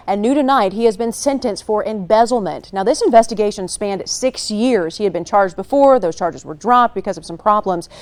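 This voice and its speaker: This is an American woman working as a television news anchor. She reads scripted stories in a broadcast style, at a medium pitch for a woman. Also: darkish tone.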